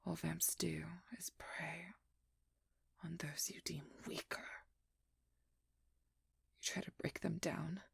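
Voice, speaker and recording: A woman acts out a determined female vampire hunter in an intimate audio roleplay. Her voice is very breathy and husky, often near a whisper, with a gravelly fry underneath. She speaks slowly and intensely, wounded but resolute. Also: low-pitched, little pitch movement, dry recording, studio quality, full character voice. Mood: hurt, bitter. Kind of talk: ASMR roleplay audio drama.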